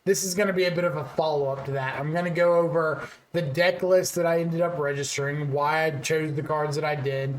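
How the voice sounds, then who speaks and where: Slightly raspy man in an echoey room. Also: on the high side, fairly quick, few pauses, slight background noise. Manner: relaxed, thinking aloud with fillers. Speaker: card game content creator. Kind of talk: casual strategy commentary.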